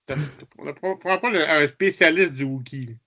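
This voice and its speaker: A man's voice: a little high, normal speed, pushed and bright. A man with a Quebec French accent chatting informally as a guest reviewer, in colloquial speech. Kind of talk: casual chat, review.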